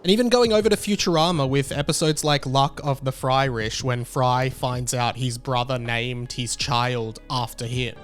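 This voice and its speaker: A man's voice: medium pitch, normal speed, hardly any pauses, faint music behind. A young man with an Australian accent giving earnest, reflective video essay commentary.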